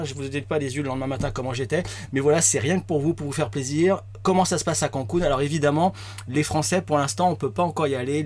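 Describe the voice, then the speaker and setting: A man hosting a live online show in a quick, chatty way. His voice sits on the high side and he talks fast, filling pauses with little hesitations. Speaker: host.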